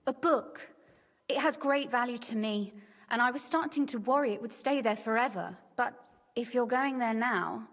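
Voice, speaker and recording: A woman's voice: on the high side, normal speed, big-room sound, lightly acted. An actress playing a shrewd, teasing young medieval noblewoman in a video game, speaking in articulate, formal phrases. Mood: coy, secretive. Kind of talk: scripted game dialogue.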